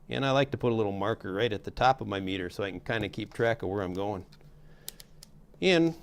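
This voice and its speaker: Middle-aged man with a creaky voice. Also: on the low side. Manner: folksy, informal, step-by-step. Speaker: how-to host demonstrating a repair. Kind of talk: casual hands-on tutorial narration.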